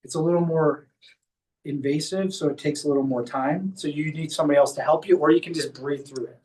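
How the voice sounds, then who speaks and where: A man's voice: a little high, fairly even intonation, fairly quick, a little mellow, far from the mic, low-quality recording. A man, a chiropractor, giving a hands-on demonstration workshop and explaining each step as he guides a volunteer. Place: clinic workshop room.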